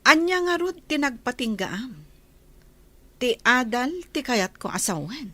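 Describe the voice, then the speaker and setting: A woman's voice: on the high side, sing-song, a little slow, pushed and bright, full character voice. A woman playing a haughty, scornful lady of a mansion in a radio drama, speaking in a cutting, condescending way. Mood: haughty, probing. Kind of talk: Ilocano radio drama acting.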